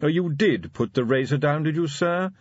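Man acting a formal, unflappable butler, lilting pitch. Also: expressive, dull audio. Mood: cautious, deadpan. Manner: precise, measured, politely dry. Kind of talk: audiobook character acting.

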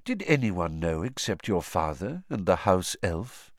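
A man voicing a calm, commanding old male wizard who questions quietly and with measured authority. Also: mid-range voice, no echo, studio quality. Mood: quiet, probing.

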